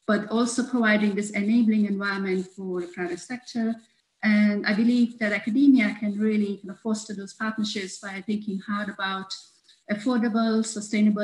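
A woman academic speaking carefully and deliberately as a panelist on a video call from home. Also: mid-range voice, roomy sound, low-quality recording. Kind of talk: online panel discussion. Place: home room.

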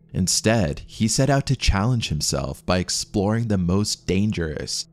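Deep-voiced male documentary narrator. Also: darkish tone.

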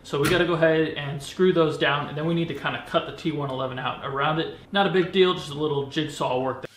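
A man's voice: a little high, fairly even intonation, fast talker, a bit bright, a little hiss or hum. A man in his thirties in a home woodshop, casually talking to camera as he measures and cuts. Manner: casual, explaining measurements as he works. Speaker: woodworking channel host. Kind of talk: talking on camera while working. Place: large home woodworking shop, indoors. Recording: echoey shop from off-mic.

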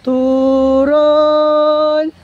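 A woman with a Davao Cebuano accent who drives a pedicab and vlogs, calling out for passengers on quiet residential streets and chatting casually. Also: sits high, level tone. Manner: slow, drawn-out repeated calls for riders. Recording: muffled outdoor audio with faint street noise.

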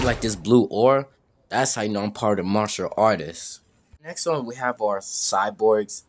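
A man voicing a seasoned, cocky fighter mentoring a newcomer in an amateur game roleplay, deliberate and ominous. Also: on the low side.

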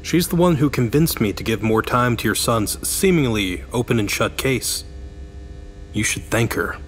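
Crime drama police chief, male actor: formal, commanding, veiled menace, animated intonation, faint hum. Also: mid-range voice, moderate pace, natural voice. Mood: composed. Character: authoritative, smooth-mannered male police chief. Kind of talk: audio drama acting.

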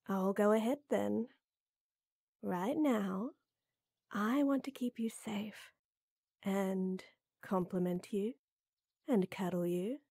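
A woman playing a tall, tender, teasing lady knight in an intimate roleplay audio, coaxing softly. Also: medium pitch, dry recording, studio quality, exaggerated voice. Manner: soft, teasing, coaxing, with breathy near-whispers.

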